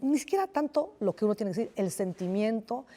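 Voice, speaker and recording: Una escritora de origen italiano con acento italiano, entrevistada en televisión; habla reflexiva, con titubeos y muchas pausas. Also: female voice, fairly high pitch, lively intonation, dark tone. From Italy. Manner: thoughtful, hesitant, many restarts and fillers. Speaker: novelist guest. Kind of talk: television interview.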